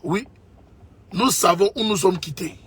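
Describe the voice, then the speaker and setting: A man's voice: sits high, unhurried, a bit bright, husky, no room sound. A man from Côte d'Ivoire, giving an impassioned political monologue, emphatic and repeating his points to the listener as a brother. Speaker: political commentator.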